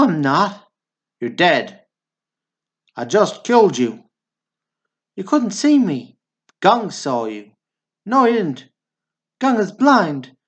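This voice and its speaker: Man acting a slow-talking blunt Southern small-town guy. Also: high-pitched, heavily acted. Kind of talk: stage comedy dialogue, radio drama acting.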